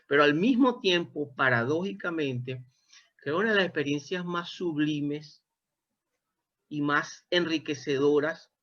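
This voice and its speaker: A man's voice: fairly high pitch, a little slow, fairly bright tone. A Venezuelan man interviewing a guest, speaking in a thoughtful, expansive way and often restarting his phrases. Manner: thoughtful, expansive, self-correcting. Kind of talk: reflective interview conversation. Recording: dull lo-fi sound.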